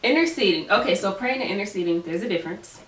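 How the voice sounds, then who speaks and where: A woman's voice: medium pitch, expressive, fast. A nineteen-year-old woman hosting a casual faith chat with friends on a couch, talking animatedly and laughing often. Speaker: host. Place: living room, group on a couch. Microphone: camera mic at a distance. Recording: echoey room, distant mic, faint noise.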